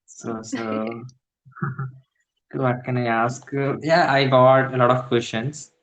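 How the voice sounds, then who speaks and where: Halting young Indian man, roomy sound. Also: mid-range voice, steady tone, fairly bright tone. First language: Hindi. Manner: halting, many fillers, searches for words. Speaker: English learner. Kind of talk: casual conversation practice. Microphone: video call.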